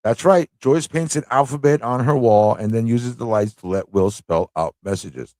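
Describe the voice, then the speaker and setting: A man's voice: mid-range voice, moderate pace, darkish tone, no echo. Casual man hosting, close dry sound. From United States. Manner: conversational, reads questions aloud and repeats them. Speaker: livestream host running a trivia quiz. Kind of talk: casual live quiz hosting, chatting with viewers.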